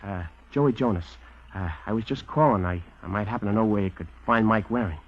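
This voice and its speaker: An actor playing a nervous, shifty small-time informer with an American accent, stammering and pleading in vintage radio crime style. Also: male voice, fairly low pitch, fairly quick. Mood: shifty, hesitant. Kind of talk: 1940s-1950s radio crime acting. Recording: dull vintage radio recording, music behind.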